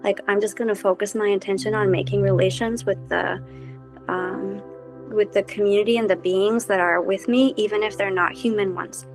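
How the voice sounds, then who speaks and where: A woman's voice: mid-range voice, fairly even intonation, normal speed, soft background music. Woman from Costa Rica, meandering storyteller. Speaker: helping professional on a panel. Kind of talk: casual reflective conversation.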